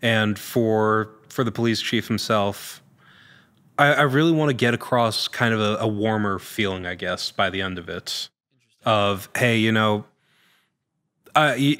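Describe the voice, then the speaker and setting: Low-pitched man answering interview questions. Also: fairly bright tone. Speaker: actor, podcast guest. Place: large indoor studio room. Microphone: podcast microphone on a boom arm.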